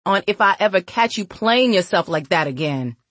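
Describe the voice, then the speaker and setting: A woman's voice: medium pitch, expressive, normal speed, fairly bright tone, no room sound. Woman playing a stern, streetwise old lady. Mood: scolding. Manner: stern, menacing, slangy.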